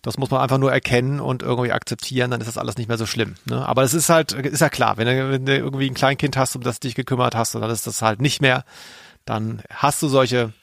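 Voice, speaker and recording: Creaky-voiced man, dry close sound. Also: medium pitch, steady tone, fast talker, fairly bright tone, dry recording. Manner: seeks agreement with frequent tag questions. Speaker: podcast co-host. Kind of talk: casual analytical podcast chat.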